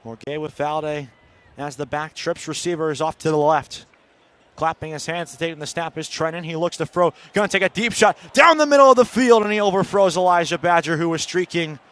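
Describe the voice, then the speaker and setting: A man with a fairly high-pitched voice gives live radio play-by-play of college football. He describes the action in short, clipped phrases. His delivery is built to rise with the big plays. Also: fairly quick, a bit bright.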